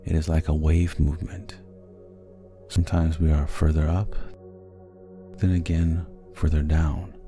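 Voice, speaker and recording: Male sleep hypnosis narrator, very low and gravelly, soothing and measured, over faint music. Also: level tone. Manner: soothing, gentle, measured delivery. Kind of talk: sleep hypnosis guided meditation, scripted narration.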